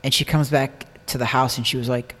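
A man's voice: mid-range voice, steady tone, a little fast, a bit bright. An American man telling a personal story in casual conversation, repeating phrases for emphasis. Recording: long echoing reverb.

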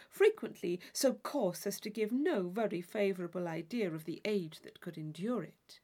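A woman reading a classic novel as scripted narration, in an expressive, formal storytelling manner. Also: medium pitch. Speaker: audiobook reader.

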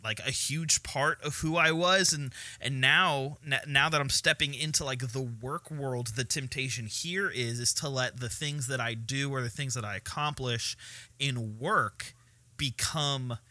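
A man's voice: mid-range voice, a little slow, bright, forceful tone, dry recording. A young man, a student pastor, co-hosting a casual podcast chat, joking and laughing often.

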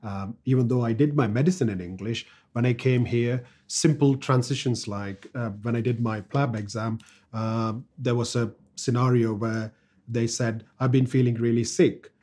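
Man with a mellow voice, first language Tamil. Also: medium pitch, fairly even intonation, normal speed. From India. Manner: earnest, anecdotal, with frequent restarts. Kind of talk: conversational discussion.

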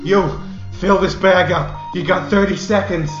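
A desperate young stickup man acted by a man in an audio drama, with a high voice for a male. He is agitated and barks in measured bursts. The sound is roomy and muffled, with music behind. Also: steady tone. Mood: aggressive. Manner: shouting orders, agitated. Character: desperate, aggressive, panicky younger male armed robber.

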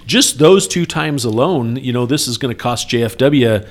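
Man, medium pitch, casual workplace talk, earnest, explanatory, podcast co-host, seeks agreement. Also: expressive, normal speed. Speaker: trucking company podcast co-host.

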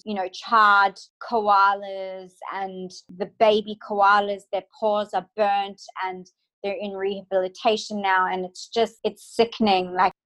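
A woman in her thirties from Australia, a guest speaking earnestly and with emotion in an interview, halting at times. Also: mid-range voice, fairly bright tone, low-quality recording. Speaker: guest, clinical psychologist. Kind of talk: remote interview.

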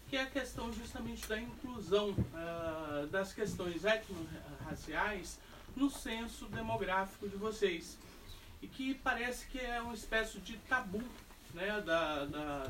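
High-pitched Brazilian man, off-mic. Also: a bit bright. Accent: Brazilian Portuguese. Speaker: audience member. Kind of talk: spontaneous question at a public talk. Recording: distant microphone, clear background noise.

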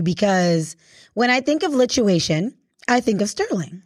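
A woman's voice: fairly high pitch, no room sound, clean studio sound. A woman hosting a radio interview in a casual, slangy, celebratory style, effusive and admiring in an informal way. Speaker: radio show host.

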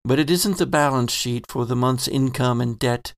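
A man voicing a kindly middle-aged landlord, telling his thoughts in a soft, reflective first-person monologue. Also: mid-range voice, moderate pace, dry recording, studio quality, lightly acted.

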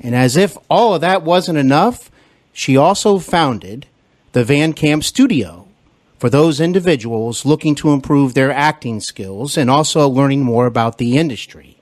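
A man's voice: medium pitch, expressive, measured pace, dry recording. A man hosting a podcast interview, giving formal, welcoming introductions. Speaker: podcast host.

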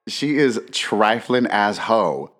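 A young man co-hosting a casual podcast and teasing his co-host in a playful, animated way. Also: lowish voice, slow, heavy background noise. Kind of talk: casual chat. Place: Inglewood, California.

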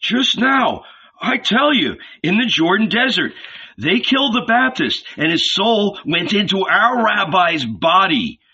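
A man doing an excited, urgent shepherd disciple. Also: high-pitched, measured pace, husky, voice pushed up, exaggerated voice. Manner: urgent, pleading, rising excitement. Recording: dull lo-fi recording.

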